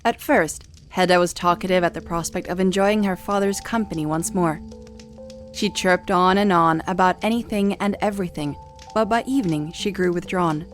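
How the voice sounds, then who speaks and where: A woman narrating a scripted dramatic story in an audio series. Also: fairly low pitch. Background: music.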